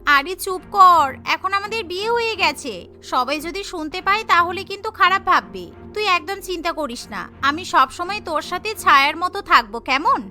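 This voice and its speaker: A woman's voice: very high voice, expressive, moderate pace, bright, forceful tone, full character voice. A woman voicing a sensible, caring, dutiful young bride in a cartoon, polite and reassuring. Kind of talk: Bengali cartoon voice acting. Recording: music behind the voice.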